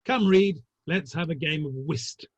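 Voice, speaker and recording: A man playing a breezy, flippant Victorian literary gentleman with an arch, old-fashioned turn of phrase, in a play reading. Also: on the high side, a little slow, husky, no room sound, dull audio. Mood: flippant, soothing.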